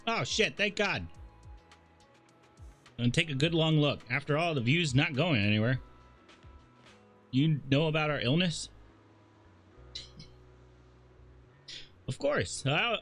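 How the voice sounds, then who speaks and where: Higher-voiced man reading aloud over music. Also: a bit bright. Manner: stumbles while reading, swears casually. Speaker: streamer playing a story game. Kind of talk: livestream read-aloud of game dialogue with casual asides.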